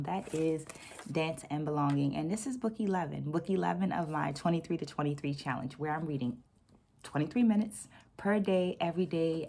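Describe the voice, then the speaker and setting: Low-voiced middle-aged woman host, deliberate and mellow. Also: gravelly, slight background noise. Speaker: book vlog host and dance educator. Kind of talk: reflective vlog talk with read-out quotes.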